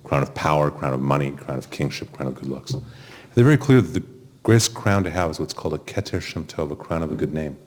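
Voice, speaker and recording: A man officiating at a funeral service, giving gentle sermon-like remarks. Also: extremely low pitch, animated, fast. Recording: noisy room recording with clicks.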